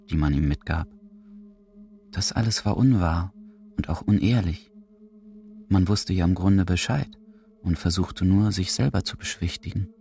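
Casting: male narrator, very low voice, dramatic literary storytelling over music. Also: darkish tone.

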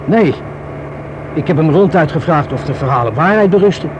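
Radio-drama young informant, played by a man: fairly high voice, wary, rueful, colloquial, hesitant, noisy vintage recording. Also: lively intonation, normal speed, dull audio, natural voice. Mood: rueful, confiding. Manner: hesitant, colloquial, self-reproaching.

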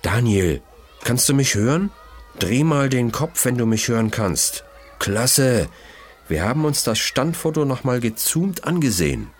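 A man voicing a clever, bossy schoolgirl detective, explaining quietly and briskly in a children's audio mystery. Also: medium pitch, very animated, slight background noise, voice pushed up. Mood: eager, instructing.

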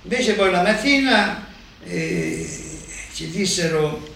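An older man giving a halting spoken recollection as a witness, repeating words as he goes. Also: sits high, wildly swooping pitch, fairly bright tone. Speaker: war deportee giving testimony. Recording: echoey distant room recording with faint noise.

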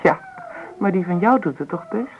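A chatty young mother in a radio drama, fond yet a little exasperated, her voice lively and varied in pitch. It comes through thin and tinny, with faint noise. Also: moderate pace. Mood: resigned, chatty. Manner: chatty, mildly scolding. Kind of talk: Dutch radio play acting. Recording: tinny, slightly noisy old radio recording.

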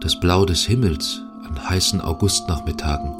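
A man's voice: deep voice, moderate pace, music in the background. A man narrating a German radio drama in a scripted, literary style. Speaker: second narrator.

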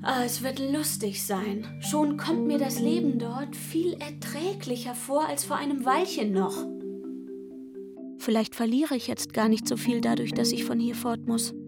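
A woman's voice: a little high, a little slow, gravelly, over loud music. An actress playing a coaxing, wistful young working girl in a radio play, confiding and eager as she speaks. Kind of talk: literary radio play acting.

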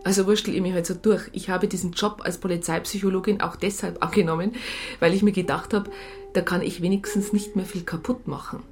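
An actress playing a disillusioned police psychologist in a radio drama, blunt and sardonic. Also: female voice, fairly low pitch, steady tone, fairly quick, darkish tone, soft background music, natural voice. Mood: resigned, sardonic. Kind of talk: naturalistic radio drama dialogue.

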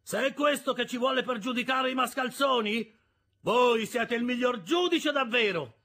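A man's voice: very high voice, normal speed, fairly bright tone, pitched above the performer's own voice. A man voicing a brusque, surly hired hand at a forge, sneering and growling defiantly in an audiobook. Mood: angry. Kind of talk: audiobook character voice.

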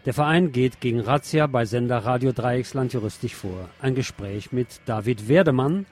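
A man's voice: mid-range voice, moderate pace, darkish tone. A man reads scripted political commentary as a radio presenter. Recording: music playing behind the voice. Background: music.

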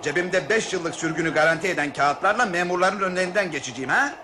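An actor playing a wary, sardonic train waiter who is easily indignant, in a radio drama. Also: male voice, high-pitched, fast talker, background noise, lightly acted. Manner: questioning, sardonic.

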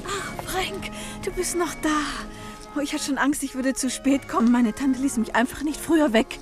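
An actress playing a timid, yearning young companion in a radio play, speaking earnestly and meekly. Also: female voice, high-pitched, moderate pace, music in the background, close to an everyday voice. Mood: anxious. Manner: earnest, meek, wistful. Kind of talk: German radio play acting.

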